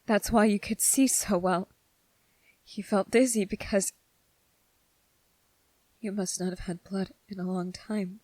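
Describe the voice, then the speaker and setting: A woman voicing a gentle, earnest young male soldier in a soft-spoken, halting ASMR roleplay. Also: mid-range voice, fairly even intonation, dry recording, natural voice, low-quality recording. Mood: nervous, hushed. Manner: hesitant, halting, repeating phrases, earnest.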